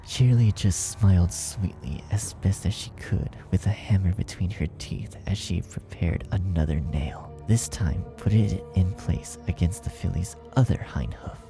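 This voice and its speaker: A man reading a dark fan fiction story aloud as scripted, dramatic narration. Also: deep voice, a little slow, gravelly, hardly any pauses, low-quality recording. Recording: music behind the narration. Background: music.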